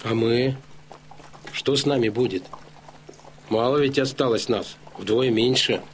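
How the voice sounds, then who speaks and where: Actor as worried, downcast Cossack warrior. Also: male voice, mid-range voice, measured pace, a little hiss or hum, lightly acted. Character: worried Cossack left among a thinned band. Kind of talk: Russian radio drama acting.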